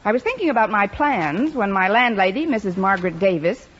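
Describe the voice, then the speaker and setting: A woman's voice: medium pitch, very animated, fairly quick, close to an everyday voice. An actress playing a wry, wisecracking, hopeful woman schoolteacher in an old-time radio sitcom, with a dry, sardonic delivery. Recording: dull vintage radio recording, noisy, loud audience.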